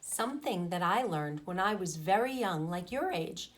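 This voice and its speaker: A woman teacher reading a storybook and explaining to children in an expressive, emphatic way. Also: fairly low pitch, normal speed. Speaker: teacher reading aloud to pupils.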